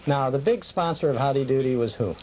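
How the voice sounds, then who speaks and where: A man's voice: mid-range voice, a little fast, a little mellow. A middle-aged American man hosting a conversational interview, asking inquisitive follow-up questions to check details. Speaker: host. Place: television studio. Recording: noisy, deteriorated old cable TV tape.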